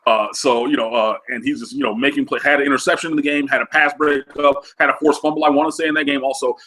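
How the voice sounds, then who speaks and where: A man's voice: medium pitch, fairly even intonation, fast talker, bright, forceful tone. A man talking about college football recruits, nasal from a cold, chatty with lots of fillers. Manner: chatty, many fillers, enthusiastic. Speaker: recruiting analyst guest. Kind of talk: casual sports recruiting talk.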